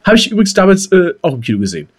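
A man's voice: high voice, huge pitch swings, very fast, husky. A man co-hosting a podcast in casual, colloquial banter, silly and given to mock grumbling. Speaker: podcast co-host.

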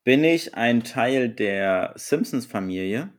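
A man co-hosting a podcast, playing a casual guessing game, unhurried and playful and laughing easily. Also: medium pitch, expressive. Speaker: podcast co-host.

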